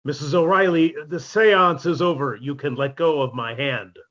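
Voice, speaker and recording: A man's voice: a little high, normal speed, fairly bright tone, voice pushed down, lightly acted. A man playing a sardonic, heckling boarder who makes dry wisecracks in a radio comedy. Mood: puzzled, insistent. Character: sardonic male wisecracking boarder called Maestro. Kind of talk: 1950s radio comedy acting.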